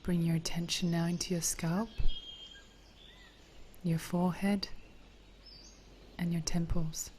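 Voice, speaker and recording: Female meditation teacher: dark, soft tone, very slow gentle pacing, near-whispered phrase endings, light birdsong ambience. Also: fairly low pitch, little pitch movement, a little hiss or hum. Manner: soothing, gentle, breathy delivery drifting toward a whisper. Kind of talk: guided body scan meditation.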